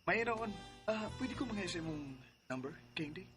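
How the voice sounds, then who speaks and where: A man's voice: high voice, animated, normal speed. An actor with a Filipino Cebuano accent playing a flirtatious male bar customer in an audio drama. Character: smooth-talking male bar customer courting a hostess. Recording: background music under voice.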